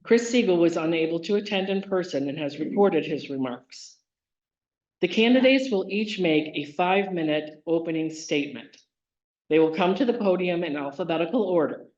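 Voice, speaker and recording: A nominating committee member reads prepared remarks in a measured, formal way at a members' meeting. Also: medium pitch, expressive, a little mellow. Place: meeting hall. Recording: roomy, dull meeting recording.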